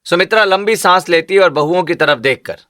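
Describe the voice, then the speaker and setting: A man's voice: high-pitched, a little fast, pushed and bright. A man reading scripted narration for a story, as the narrator.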